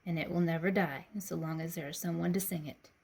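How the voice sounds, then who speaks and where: A woman's voice: brisk, gravelly, slightly rough. Woman voicing a brave, headstrong little rooster. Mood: calm defiance. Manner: calm, steadfast speech with high crowing calls.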